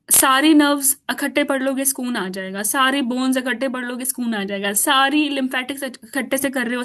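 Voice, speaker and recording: A woman whose first language is Urdu, teaching an informal online class in a chatty way, laughing often and mixing in English. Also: high voice. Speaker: course teacher.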